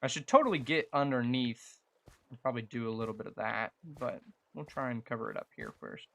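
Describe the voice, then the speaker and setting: A young man talks casually as he plays a game for his viewers. His voice sits at an average male pitch. He rambles in an earnest, halting way, often thinking out loud. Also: sing-song, vocal fry. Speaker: gaming streamer and video creator. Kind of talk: casual chat over live gameplay.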